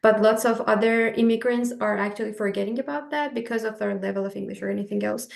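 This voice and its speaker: A woman from Iran talks as a guest on a panel. Her voice has a gravelly, creaky edge, and she speaks earnestly, pausing on frequent fillers. The sound is echoey, as though she is in a bare room. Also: medium pitch, steady tone. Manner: hesitant, many fillers, earnest. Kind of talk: casual conversation.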